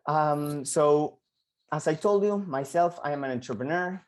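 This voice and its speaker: A man in his thirties from Argentina with an Argentine Spanish accent, giving an online entrepreneurship lesson and often repeating words and filling pauses. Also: on the high side. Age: 30s. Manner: halting, frequent repeated words and fillers. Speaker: entrepreneurship coach. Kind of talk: online lecture to students.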